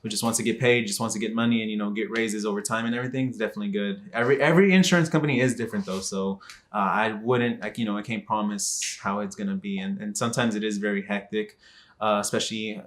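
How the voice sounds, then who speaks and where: Rambling young Texas man, low voice. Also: fairly even intonation, fast. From Dallas, Texas. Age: 20s. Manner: rambling, many fillers and restarts. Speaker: vlogger. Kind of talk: casual talk to camera.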